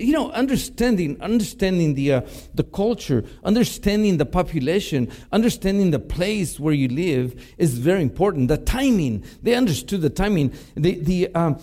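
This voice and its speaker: A middle-aged man from Mexico with a Mexican Spanish accent, giving a chapel talk and pausing often with fillers and restarts. Spanish is his first language. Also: medium pitch, warm tone. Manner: halting, many fillers and restarts. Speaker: chapel speaker.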